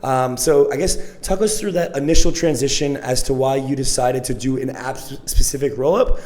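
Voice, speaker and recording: A man's voice: mid-range voice, steady tone, normal speed, darkish tone. A man hosting a show interview, chatty and upbeat, with frequent fillers and restarts. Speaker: show host. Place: conference venue.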